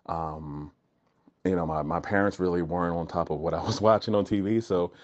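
Low-voiced man, hesitant, lo-fi sound. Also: steady tone, brisk, darkish tone. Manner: rambling, hesitant with many ums and restarts. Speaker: guest. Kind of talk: casual personal storytelling. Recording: dull lo-fi recording.

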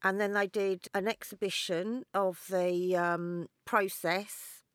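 A woman's voice: medium pitch, little pitch movement, slow pace, smooth, dry recording. Laid-back woman, giggly and dry. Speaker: guest, DJ and model. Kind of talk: casual chat interview.

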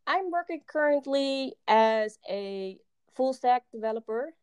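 A woman's voice: sits high, expressive, unhurried, darkish tone, clear, resonant tone, dry recording. A young woman from the Netherlands with a Dutch accent, chatting as a podcast guest, hesitant with many ums and frequent laughs. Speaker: podcast guest, software developer. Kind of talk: casual interview chat.

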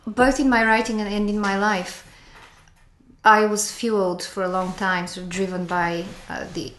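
A woman with a Bulgarian accent and a trace of a New Zealand twang, a writer speaking reflectively as an interview guest, often pausing with fillers. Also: mid-range voice, steady tone, a bit bright. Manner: reflective, halting, many fillers. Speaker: author guest. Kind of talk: interview conversation. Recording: echoey room, faint noise.

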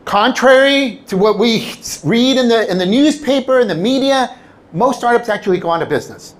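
A middle-aged man, a native Spanish speaker from Southern California, giving a personal motivational talk to an audience in animated storytelling style, acting out quoted lines. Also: extremely high pitch, fairly quick. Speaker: public speaker.

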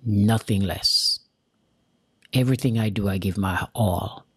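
A Jamaican-accented man from Jamaica giving an earnest, deliberate motivational talk straight to his viewers, repeating key lines for emphasis. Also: fairly low pitch, a bit bright, husky, dry recording, studio quality. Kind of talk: motivational direct-to-camera talk.

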